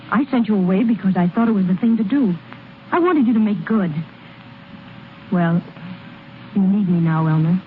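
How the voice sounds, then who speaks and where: A woman's voice: medium pitch, close to an everyday voice. Casting: actress as loyal, determined girlfriend, earnest mid-range voice, vintage radio play on a tinny recording. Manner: earnest, gentle but insistent, plain-spoken. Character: loyal, tender, determined young woman, ballplayer's sweetheart. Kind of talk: old-time American radio drama acting. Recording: thin, noisy vintage radio broadcast recording.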